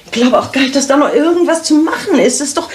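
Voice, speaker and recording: An actress playing a practical, sympathetic middle-aged friend in a vintage TV re-enactment, colloquial and emphatic. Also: female voice, high-pitched, expressive, fast talker. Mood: frank, critical. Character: practical, sympathetic woman friend, frankly critical. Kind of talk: 1980s TV re-enactment acting. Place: indoor rooms.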